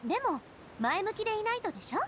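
アニメ調ゲームで、穏やかで茶目っ気のある若い女性リーダーを演じる女性。くだけた女性らしい口調で真摯に話す。. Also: female voice, very high voice, sing-song, fast talker, a little hiss or hum. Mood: wistful, determined. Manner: casual, feminine, softly earnest. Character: bright, resilient young woman leader, gentle and playful. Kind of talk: Japanese anime game voice acting.

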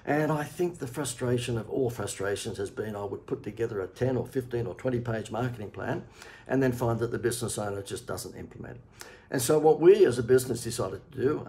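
Aussie guy in his 60s, gravelly voice, chatty salesy pitch full of uhs, home office webcam. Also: warm tone. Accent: Australian. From Australia. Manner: chatty, persuasive, many "uh" fillers. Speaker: marketing consultant. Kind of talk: direct-to-camera sales pitch.

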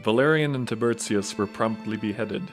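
Male story narrator over soft music. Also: on the low side, normal speed.

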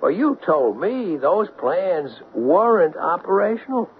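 An actor playing a tough, wry ex-police sergeant in a radio drama, sardonic and probing. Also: male voice, high-pitched, big pitch swings, a little slow, full character voice. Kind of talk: 1970s radio drama acting. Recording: dull lo-fi 1970s radio broadcast, hissy.

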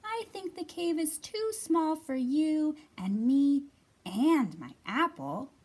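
A woman voicing a small female storybook mouse, polite, eager and hopeful, with a squeaky voice. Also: sing-song, slow, darkish tone, heavily acted. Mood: squeaky, loud.

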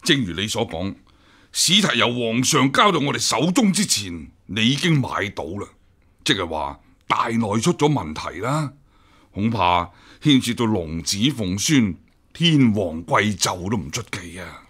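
Husky senior court official, Hong Kong Cantonese. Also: male voice, lowish voice, big pitch swings, unhurried, fairly bright tone, heavily acted. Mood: cautious, persuasive. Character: senior Qing examiner official, guarded, smooth, quick to take offence. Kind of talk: Cantonese radio drama acting.

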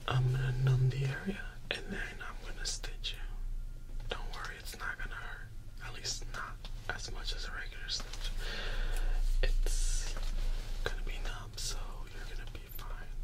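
A man in his twenties whispering a gentle, reassuring ASMR roleplay as a kind survivor tending wounds, close to the microphone. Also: medium pitch, rough recording. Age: 20s. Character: kind young male zombie-apocalypse survivor tending wounds. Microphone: close-up stereo ASMR microphone.

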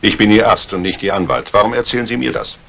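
An actor playing a wary, shrewd doctor in a 1950s radio crime drama, speaking in a dry, measured, laconic way. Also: male voice, lowish voice, gravelly, fairly bright tone. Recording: noisy vintage radio recording.

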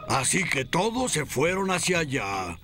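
An actor with a Latin American Spanish accent playing a boastful, grumpy old crab in a cartoon dub, rambling theatrically and dismissively. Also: male voice, a little high, sing-song, a little slow, sharp tone, soft background music, full character voice.

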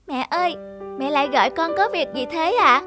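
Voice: high voice, a little fast, close to an everyday voice. An actor voicing an eager, affectionate young boy with a polite, pleading manner in a children's cartoon. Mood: hurried. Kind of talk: dubbed children's cartoon dialogue. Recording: music behind the voice.

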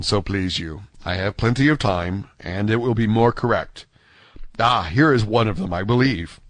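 A man voicing a gentlemanly musketeer in an audiobook, self-possessed and stately, with formal courtesy. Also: fairly low pitch, no echo. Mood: approving. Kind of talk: audiobook character acting.

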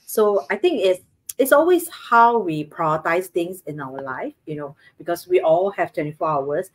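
A woman's voice: on the low side, animated, moderate pace, rough recording. Earnest Singaporean woman explaining over video call. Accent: Singaporean English. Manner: earnest, explanatory, many fillers. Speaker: co-host. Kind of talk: casual live-stream chat. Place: home living room, indoors, video call. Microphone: webcam on video call.

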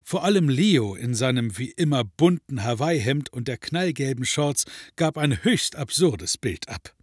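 A man narrating a scripted comedy audio drama in a measured, mock-dramatic way. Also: medium pitch, animated, slightly rough, dry recording, clean studio sound.

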